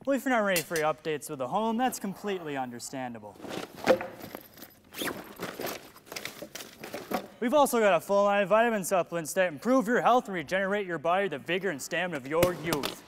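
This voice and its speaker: A man's voice: high voice, lively intonation, fast, few pauses. An actor playing a relentlessly upbeat, pushy salesman of the future in a comic stage play, full of glib patter. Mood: smooth selling. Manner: glib sales patter, talks over objections. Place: theater stage at a play festival. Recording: echoey stage hall, noticeable hiss.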